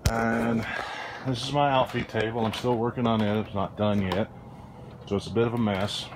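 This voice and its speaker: A middle-aged man hosting a woodworking channel, giving a relaxed, rambling tour of his porch workshop. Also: lowish voice, normal speed, background noise. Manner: relaxed, rambling, with restarts and fillers. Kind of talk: casual shop tour. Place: outdoor front porch workshop under a canopy.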